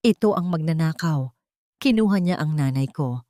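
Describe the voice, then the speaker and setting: A woman's voice: sits low, expressive, moderate pace, dark tone, no echo, studio quality. A woman storyteller voicing a spiteful female villain in a drama, taunting and accusatory. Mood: loud accusation.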